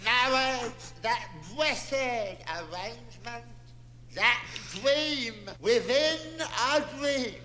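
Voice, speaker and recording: A man's voice: very high voice, expressive, extremely slow, sharp tone, full character voice. An actor playing a pompous old clergyman, speaking ceremonially in a comic fantasy film. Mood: solemn, pompous. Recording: echoing hall, faint background noise.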